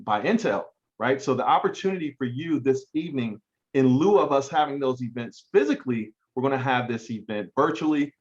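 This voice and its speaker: A man's voice: medium pitch, highs cut off. A man hosting an online hiring event, talking in a casual, conversational way with frequent fillers. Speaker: event host.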